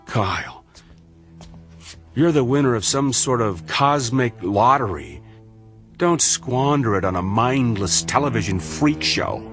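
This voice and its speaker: Sardonic, moralising medical colleague, lively varied intonation, probing delivery, radio drama, faint music. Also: male voice, medium pitch, measured pace. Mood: earnest, pleading. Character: earnest, challenging male colleague of a doctor.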